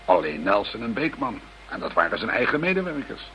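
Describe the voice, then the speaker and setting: A man playing a worried, businesslike police investigator with a measured manner in a radio play. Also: fairly low pitch, very animated, bright, forceful tone, heavily acted, poor audio. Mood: uneasy. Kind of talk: Dutch radio play acting. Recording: hissy radio play recording.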